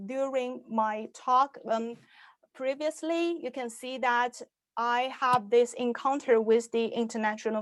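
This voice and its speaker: A young adult woman from Shanghai whose first language is Chinese, giving an online talk in English about her writing, pausing often with "uh" and "um" and restarting her sentences. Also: a little high, dry recording. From Shanghai, China. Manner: hesitant, many "uh" and "um" fillers, restarts. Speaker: science fiction author giving a talk.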